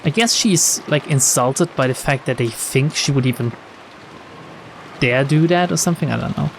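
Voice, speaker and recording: A man's voice: medium pitch, moderate pace, vocal fry, slight background noise, low-quality recording. A man reading visual novel text aloud as a let's-play narrator, dropping wry, sarcastic and sweary commentary.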